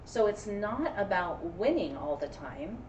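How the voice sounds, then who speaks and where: A woman's voice: medium pitch, very animated, a little slow, a little mellow, dull audio. A woman teacher discussing a children's story casually, with an affirming, teacherly manner. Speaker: teacher co-hosting a read-aloud. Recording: echoey room, distant mic, faint noise.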